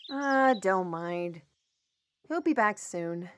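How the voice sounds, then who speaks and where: A woman playing a chatty, easily flustered storyteller in a fantasy audio roleplay, with an expressive, hesitant delivery. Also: on the low side, slow, slight background noise. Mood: musing, amused. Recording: music behind the voice.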